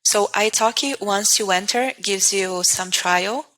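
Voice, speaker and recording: Portuguese-accented woman, language teacher as guest, crisp bright tone, thinks aloud with restarts. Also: mid-range voice, unhurried, low-quality recording. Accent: Portuguese-speaking. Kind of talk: casual interview.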